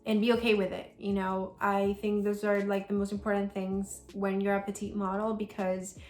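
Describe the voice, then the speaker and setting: A woman's voice: mid-range voice, little pitch movement, normal speed, a little mellow. A young woman from El Salvador, chatting casually as she answers viewer questions, full of "like" fillers. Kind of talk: casual Q&A vlog. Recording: roomy sound with faint music.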